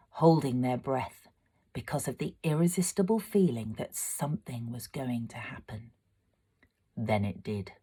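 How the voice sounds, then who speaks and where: A woman narrating a children's storybook aloud, with expressive, dramatic delivery. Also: low-pitched. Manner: expressive, dramatic, swelling for excitement and hushing for suspense. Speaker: amateur storyteller.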